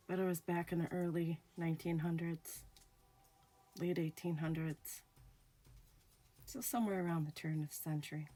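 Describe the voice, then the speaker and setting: Low-voiced woman telling a ghost story. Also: breathy edge. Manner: slow, hushed, dramatic pauses. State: recovering from illness, voice sounds off. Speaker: storyteller host. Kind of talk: spoken ghost story narration.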